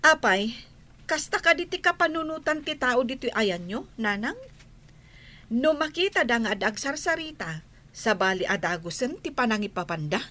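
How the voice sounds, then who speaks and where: A woman's voice: on the high side, expressive, normal speed, pushed and bright. A woman playing an assertive young daughter-in-law who defends her own ways in an Ilocano radio drama. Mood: offended.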